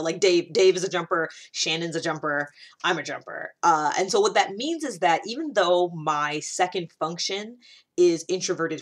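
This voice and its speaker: Woman w a lowish voice just chatting thru an explainer, rambly, keeps going off on side notes. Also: husky. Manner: informal, rambling and self-interrupting, with frequent asides. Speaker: host of a personality-typing series. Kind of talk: casual explainer monologue.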